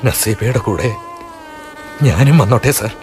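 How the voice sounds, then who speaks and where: A man's voice: lowish voice, lively intonation, unhurried, noisy background. A man playing a desperate husband in a radio drama, who pleads and sometimes rages. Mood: anguished. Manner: emotional, pleading, sometimes outraged. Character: desperate husband, middle-aged schoolmaster. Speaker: husband and narrator.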